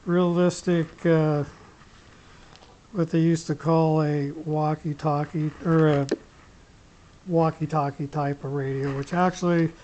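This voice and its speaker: A middle-aged hobbyist talks through a casual demo at an unhurried, halting pace. The tone stays flat as the talk rambles with frequent pauses. It is picked up on a small camera clipped to a shirt, with some noise behind it. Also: medium pitch, lo-fi sound. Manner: rambling, hesitant, pausing often mid-sentence. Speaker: amateur radio hobbyist giving a gear demo. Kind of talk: casual unscripted demonstration. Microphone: small camera pinned to t-shirt. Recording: noisy clip-on camera sound with clicks.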